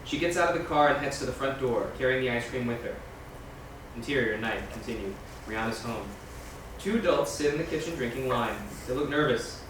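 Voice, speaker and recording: A man reads stage directions quickly in a very echoey, noisy room, some way from the mic. His delivery is scripted narration, moving briskly through screenplay scene descriptions. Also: medium pitch, distant mic. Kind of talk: reading screenplay directions aloud.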